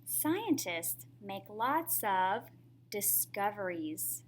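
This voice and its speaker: A woman's voice: medium pitch, sing-song, very slow, a little hiss or hum. A woman reading a picture book aloud to young children in a teacherly way, often putting questions to the listener.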